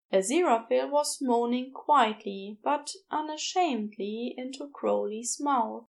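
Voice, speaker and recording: Fiction narrator, female: high register, expressive pitch movement, measured slow delivery. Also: gravelly. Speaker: audiobook narrator.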